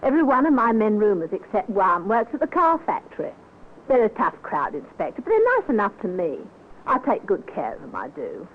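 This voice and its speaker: A woman's voice: mid-range voice, huge pitch swings, fairly quick. A woman playing a chatty, defensive boarding-house landlady in an old radio drama, folksy and protective, on a tinny, noisy recording. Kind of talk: old-time radio acting.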